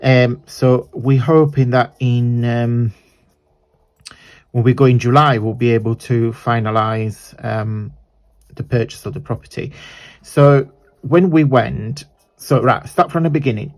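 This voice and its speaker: Italian man, croaky this morning, casual rambling craft host who corrects himself mid-sentence, mid-pitched voice. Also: steady tone, a little slow. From southern Italy. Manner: rambling, chatty, self-correcting. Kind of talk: chatty crafting tutorial.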